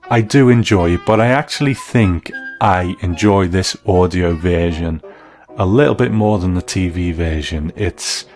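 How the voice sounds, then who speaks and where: A man presenting a scripted review in a measured, reflective manner. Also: low voice, darkish tone. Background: background music.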